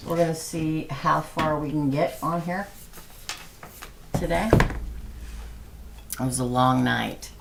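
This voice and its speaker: Hoarse woman with a gravelly low voice. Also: background noise. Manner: soft-spoken, slow and chatty. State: hoarse, losing her voice. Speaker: tarot reader hosting a livestream. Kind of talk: casual livestream chat.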